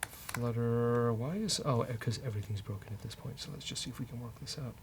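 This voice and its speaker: A man with a creaky, gravelly voice talks through his code as he goes. He is casual and a bit hesitant, often muttering to himself. There is faint noise behind him. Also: mid-range voice, a little fast. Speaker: developer and show host. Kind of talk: casual live coding commentary, thinking aloud.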